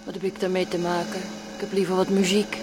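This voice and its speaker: An actress playing a complacent, homely housewife in a radio play, breezy and dismissive of big worries. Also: female voice, lowish voice, level tone, fast, background noise, lightly acted. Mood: carefree, indifferent. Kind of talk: radio play acting, with verse set to piano music.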